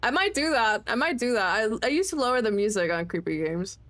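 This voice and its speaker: Chatty young woman streamer, slightly high voice. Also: expressive, brisk, fairly bright tone. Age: late 20s. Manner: chatty, self-mocking, swearing freely. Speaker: game streamer. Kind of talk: casual livestream chat.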